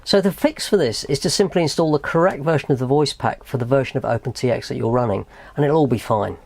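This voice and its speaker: A man's voice: mid-range voice, fast talker, vocal fry, a little mellow. A man presenting a how-to tutorial in a methodical, explanatory way. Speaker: host of a tutorial channel.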